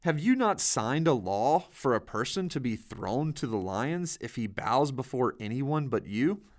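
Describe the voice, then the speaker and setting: A man voicing a scheming, flattering young royal official in a Bible storybook reading. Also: medium pitch, a little slow. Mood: accusing.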